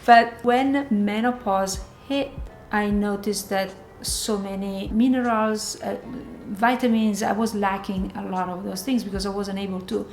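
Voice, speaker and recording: An older woman with a lilt talks casually and animatedly, sprinkling in "you know." Her mid-pitched voice rings in an echoey room, with faint music behind. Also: fairly even intonation, measured pace, hardly any pauses, darkish tone. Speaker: co-host. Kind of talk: casual chat.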